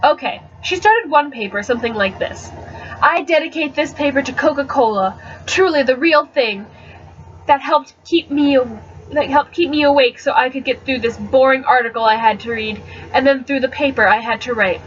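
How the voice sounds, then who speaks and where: A woman's voice: sits high, normal speed, close to an everyday voice. A woman voicing an amused middle-aged father who teaches college and tells anecdotes, in a storybook reading on a dull webcam recording. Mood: mock solemn. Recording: dull, slightly noisy webcam audio.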